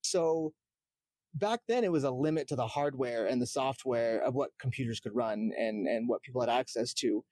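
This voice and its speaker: A man's voice: medium pitch, normal speed, darkish tone, no room sound. A man in casual gaming chat as a podcast guest, explaining things in a rambling way. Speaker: podcast guest, game streamer.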